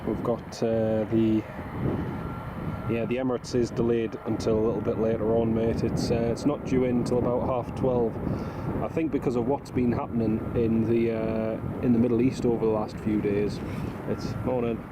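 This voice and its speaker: A man's voice: mid-range voice, level tone, normal speed. Guy bunged up with a cold, chatty livestream, planes and traffic roaring outside. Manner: chatty, greets viewers by name. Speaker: livestream host. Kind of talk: casual live commentary to viewers. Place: outdoors at an airport. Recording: loud outdoor aircraft and traffic noise. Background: aircraft and vehicle noise.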